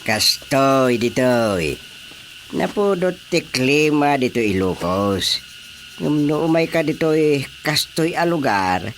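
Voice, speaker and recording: Easygoing country father, Ilocano accent, lively swooping intonation, radio drama, faint background noise. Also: mid-range voice, slow, full character voice. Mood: admiring, animated.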